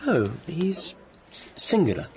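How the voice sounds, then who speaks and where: An actor playing a polite, loyal young secretary with a British accent in a radio drama. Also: male voice, on the high side, huge pitch swings, slow pace, exaggerated voice, rough recording. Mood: polite, friendly. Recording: busy crowd noise behind.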